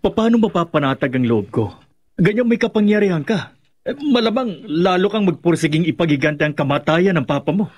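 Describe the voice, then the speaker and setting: A man's voice: high-pitched, lively intonation, a little fast, darkish tone, breathy edge, muffled recording. An actor playing a worried, questioning male friend in a Filipino radio horror drama, with a Filipino accent. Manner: anxious, questioning.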